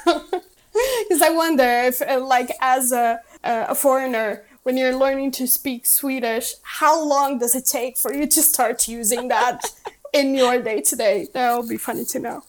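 Giggly, high-voiced woman asking questions. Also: measured pace, a little hiss or hum. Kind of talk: casual chat.

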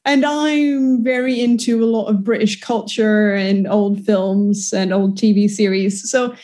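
A woman from the south of Sweden with a Swedish accent, chatting casually as a podcast guest, with many pauses and fillers. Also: medium pitch, steady tone, warm tone. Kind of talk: casual chat.

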